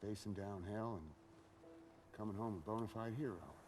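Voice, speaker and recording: A man's voice: fairly low pitch, normal speed, over music, lightly acted. A man playing a hardened American soldier and rallying leader in a war game cutscene, with an American accent. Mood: reflective, steady. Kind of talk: video game cutscene acting.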